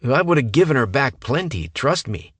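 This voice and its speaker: A man's voice: medium pitch, big pitch swings, moderate pace, husky, no room sound, voice pushed up. A man voicing a whining, lecherous young man. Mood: whiny. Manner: whining, loud.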